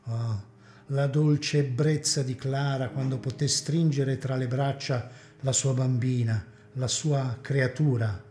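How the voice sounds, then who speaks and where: A man narrating a serial radio drama with an expressive, theatrical reading. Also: medium pitch, takes it slowly. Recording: echoey community hall.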